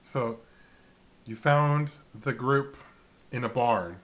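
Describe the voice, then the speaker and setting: A man's voice: medium pitch, slow pace, a bit bright. A man casually recapping a tabletop game as a co-host, in an informal, matter-of-fact way. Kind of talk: casual chat and recap narration.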